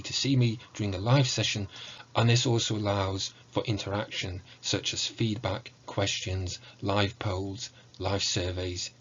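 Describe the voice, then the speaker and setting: A middle-aged man giving a prepared online lecture in a measured, formal, explanatory way. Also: lowish voice, a little hiss or hum. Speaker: university lecturer in music. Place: small indoor room with bookshelves. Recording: muffled, dull online recording.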